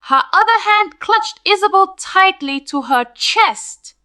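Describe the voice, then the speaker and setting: A woman narrating a spooky scripted tale in a dramatic, deliberate storytelling manner. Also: very high-pitched, lively intonation, sharp tone, no echo, clean studio sound.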